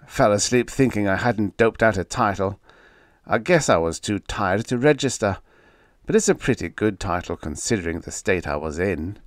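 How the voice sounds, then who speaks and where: A man voicing an arrogant male writer who turns shrill and panicky. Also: expressive, moderate pace, no echo, clean studio sound, close to an everyday voice. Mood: grumbling. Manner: high, shrieking, desperate.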